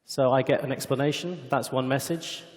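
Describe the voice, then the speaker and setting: A man's voice: mid-range voice, steady tone, a little fast, darkish tone. Man presenting in an echoey hall. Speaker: company executive presenting a product demo. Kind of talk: conference product demonstration. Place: conference hall stage. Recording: echoey hall with long reverb.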